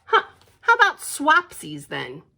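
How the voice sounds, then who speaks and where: A woman's voice: high voice, huge pitch swings, measured pace, creaky, pushed and bright, full character voice. A woman voicing an eager, pestering little puffin in a children's storybook, wheedling in short bursts. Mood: eager bargaining.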